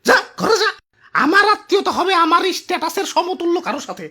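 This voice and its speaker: A man's voice: very high voice, animated, fast, pushed and bright, heavily acted. A man playing a haughty, scornful rich orange fruit father in a comic cartoon, with a Bangladeshi accent and a pompous manner. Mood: angry contempt. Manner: pompous, scolding, sneering. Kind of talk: exaggerated comic cartoon acting.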